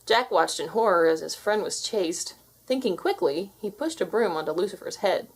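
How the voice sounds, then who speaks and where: A woman's voice: fairly low pitch, animated, normal speed, slightly rough. A woman narrating a children's fairy tale as a scripted read-aloud.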